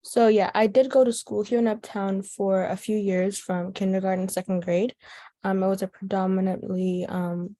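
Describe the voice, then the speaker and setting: A woman's voice: medium pitch, little pitch movement, normal speed, warm tone. A young woman from Chicago with an American accent, speaking casually and thoughtfully as an interviewee in an oral history. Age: teens to young adult. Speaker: youth organizer being interviewed.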